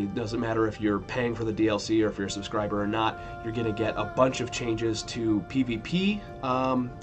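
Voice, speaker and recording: A man in his thirties hosting a weekly gaming news show in a relaxed, rambling way, correcting himself as he goes while still sniffly from a cold. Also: lowish voice. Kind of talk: casual news roundup.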